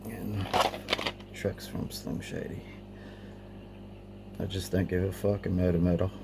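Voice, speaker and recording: A man with a deep voice full of vocal fry presents his collection casually. He speaks informally and without a script, hesitating now and then. The homemade recording has clear background noise. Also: flat delivery, unhurried. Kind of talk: casual show-and-tell narration. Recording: clearly noisy amateur home recording.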